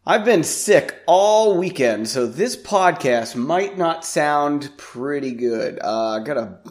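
Man, podcast host, raspy and congested, casual self-mocking chatter. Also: a little high, expressive, takes it slowly. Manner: rambling, self-deprecating. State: congested from a sinus infection.

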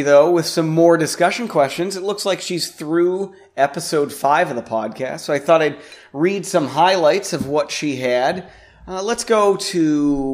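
A man, raspy and congested from a sinus infection, hosting a podcast in a rambling, self-deprecating way. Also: a little high. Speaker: podcast host. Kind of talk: casual podcast chat.

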